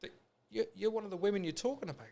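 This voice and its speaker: A man's voice: high voice, very fast, creaky, mellow. A British man hosting a podcast in a casual, rambling, anecdotal way. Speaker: podcast host. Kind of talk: casual chat.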